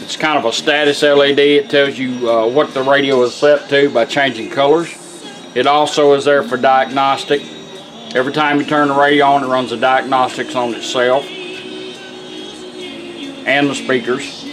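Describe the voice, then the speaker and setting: A man's voice: mid-range voice, steady tone, normal speed, fairly bright tone, rough recording. A middle-aged man with a Southern American accent giving a casual, plainspoken product demonstration in a folksy way. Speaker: radio restorer and product demonstrator. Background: music playing.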